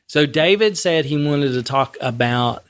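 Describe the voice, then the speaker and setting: A man's voice: fairly high pitch, unhurried, fairly bright tone, clean tone. A man hosting a podcast in casual chat, self-deprecating and joking, often laughing. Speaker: podcast host.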